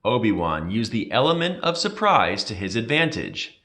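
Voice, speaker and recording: A man reading a children's story aloud with expressive, dramatic storytelling. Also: fairly low pitch, moderate pace. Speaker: reader.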